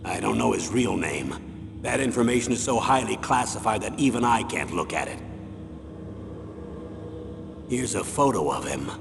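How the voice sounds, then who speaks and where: A man acting as an aging military colonel in a video game, grave and persuasive, with a gravelly voice and measured, authoritative delivery. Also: lively intonation. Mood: grave, confiding. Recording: echoing hall, vehicle rumble noise.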